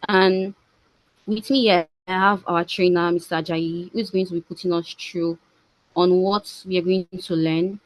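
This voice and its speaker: A woman hosting an online training as program manager, introducing the session in halting short phrases. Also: lowish voice, fairly even intonation, no echo, rough recording. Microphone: video call.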